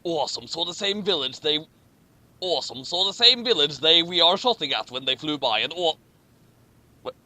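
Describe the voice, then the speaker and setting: A man's voice: on the high side, animated, normal speed, long pauses, sharp tone, no room sound. A man doing a casual, mocking read-aloud with commentary, sarcastic and tripping over his words as he reads. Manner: stumbles over words while reading. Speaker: co-host reading a story aloud with commentary.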